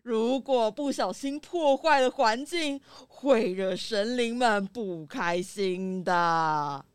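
A woman's voice: on the high side, lively intonation, slow pace, clear, resonant tone. A woman voicing a frail, worried old grandfather who sighs and admonishes in a children's audio drama. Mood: reproachful, worried. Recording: music behind the voice.

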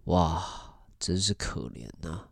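A man's voice: deep voice, animated, slow pace, creaky, exaggerated voice. Man acting a smug gourmet tycoon, theatrically swinging voice. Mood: nonchalant, detached. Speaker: actor and first-person narrator. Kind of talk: audio drama monologue.

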